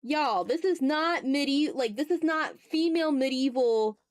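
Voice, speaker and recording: A woman's voice: high voice, a little slow, dry recording, studio quality. A woman from the American South chatting casually on an art livestream, self-deprecating and playfully exasperated. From Southern United States. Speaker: streamer. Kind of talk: casual livestream chat while drawing.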